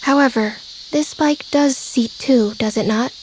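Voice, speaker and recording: A woman playing a polite, detached young observer in a manga dub, formal and deadpan. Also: high-pitched, measured pace, slight background noise, poor audio. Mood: polite, composed.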